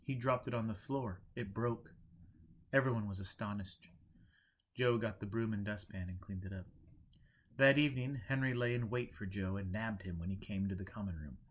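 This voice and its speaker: A man's voice: fairly low pitch, moderate pace, slight background noise. Man narrating a storybook. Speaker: audiobook reader.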